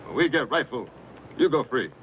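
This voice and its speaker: Western radio drama: stern bargaining chief, male actor, medium pitch, clipped broken English, lo-fi sound. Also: expressive, moderate pace, lightly acted. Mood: stern, commanding. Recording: muffled lo-fi radio recording, faint noise.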